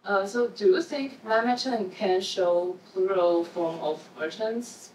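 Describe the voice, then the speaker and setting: A woman's voice: medium pitch, slow pace, darkish tone. Brief: woman, Chinese first language, conference presenter, tentative questions, faint room noise. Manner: tentative, frequent fillers and short acknowledgments. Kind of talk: academic Q&A discussion. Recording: echoey room, distant mic, faint noise.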